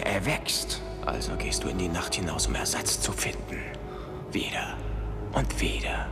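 An actor playing a brooding, wealthy male hero in a radio play, with music behind. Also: deep voice. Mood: grave. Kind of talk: dubbed radio play acting. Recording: music under the voice.

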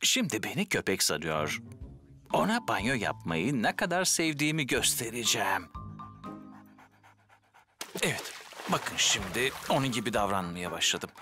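A man's voice: fairly low pitch, big pitch swings, moderate pace, pauses often, pushed and bright, soft background music. An actor playing a cocky, goofy fireman who fancies himself a dog expert in a cartoon dub, with a boastful, exaggerated manner. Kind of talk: animated cartoon dub acting.

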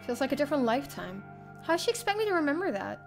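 A woman's voice: high voice, sing-song, rapid-fire, creaky. A young adult woman streamer reading a visual novel aloud as dramatic first-person narration. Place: indoor streaming room. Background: faint game music.

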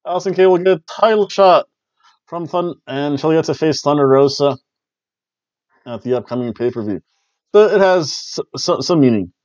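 A man's voice: on the high side, expressive, moderate pace, darkish tone, dry recording. A man in his forties casually recapping wrestling shows from a small home room, jokey and loose with playful exclamations, on a headset mic. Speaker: wrestling review host. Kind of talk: casual review chat. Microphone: headset mic on a webcam call. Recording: dry, dull lo-fi webcam audio.

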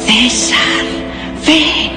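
A woman playing a mysterious, hypnotic woman in a radio drama, speaking in a spellbinding, theatrical way. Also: drawn-out words. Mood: hypnotic, beckoning. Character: mysterious, hypnotic woman luring a man onward. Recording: echoey, long reverb, music behind. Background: faint music.

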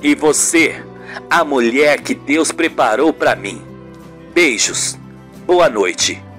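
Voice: mid-range voice, expressive, slow, a bit bright, faint music behind, pitched below the performer's own voice. A performer voicing a tender, devout boyfriend in an amateur radio soap, reassuring in solemn, florid phrases. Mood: loving.

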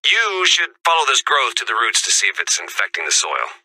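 A man's voice: mid-range voice, huge pitch swings, a little fast, bright, forceful tone, no echo, heavily acted, rough recording. A man playing an earnest, exasperated survivor hero in a video game, urgent and a little hesitant. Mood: determined, instructive.

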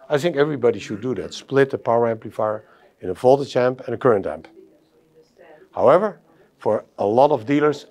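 Middle aged guy doing a techy product demo, normal mid pitch. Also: darkish tone. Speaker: audio company presenter. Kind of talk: technical product presentation. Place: exhibition demo room, indoors.

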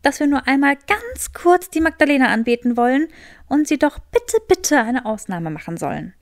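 A woman's voice: high voice, very animated, moderate pace, no room sound, studio quality. A woman voicing a clever, earnest and determined young witch, explaining eagerly in an audiobook.

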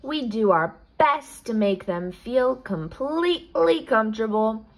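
A woman's voice: a little high, lively intonation, a little slow. A woman voicing a courteous, officious male pet officer from a storybook, in an official announcing manner. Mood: welcoming.

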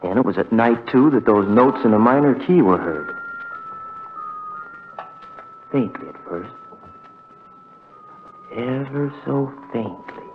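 An actor playing a haunted, heartbroken young man, telling his story with halting, conversational pauses in 1940s radio drama style. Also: mid-range voice, animated, noisy background, lightly acted. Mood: uneasy, brooding. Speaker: narrator character. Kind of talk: 1940s radio drama first-person narration. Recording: muffled vintage radio broadcast with music.

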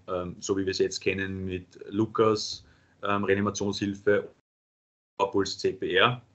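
A paramedic lecturer with an Austrian accent presenting a webinar, pausing often with fillers. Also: male voice, on the low side, a bit bright. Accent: Austrian German. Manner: halting, many filler sounds. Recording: dull lo-fi webinar audio.

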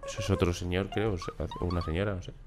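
Animated Spanish man reacting. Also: sits low, rapid-fire, noisy background. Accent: Spanish from Spain. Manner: animated, incredulous, swings to loud outbursts. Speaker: reaction streamer. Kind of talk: casual reaction commentary. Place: small home studio room. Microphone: large studio mic close up, headphones on. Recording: faint music under the voice. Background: reggaeton music playing.

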